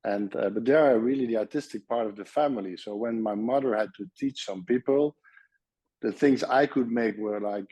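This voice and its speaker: A man with a Dutch accent talks in a low, mellow voice, friendly and a little halting as he restarts his sentences in English. The sound is dry and close, with no room around it. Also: husky, dry recording. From Netherlands. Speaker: surgeon guest. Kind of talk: casual interview chat.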